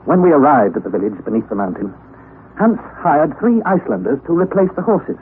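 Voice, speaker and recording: Earnest young narrator, tinny old radio. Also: male voice, fairly high pitch, sing-song. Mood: measured. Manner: measured, precise, emphatic. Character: earnest young nephew narrating his expedition. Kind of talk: scripted radio drama narration. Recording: noisy, tinny vintage radio recording.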